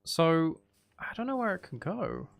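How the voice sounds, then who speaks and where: A man with a gravelly voice giving casual, rambling, sweary commentary as he thinks aloud while playing a video game. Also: on the high side, huge pitch swings, a little slow. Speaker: gaming video host.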